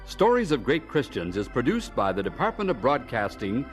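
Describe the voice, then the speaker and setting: A man's voice: mid-range voice, very animated, moderate pace, low-quality recording. A man reading formal scripted radio narration as an announcer. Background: organ music.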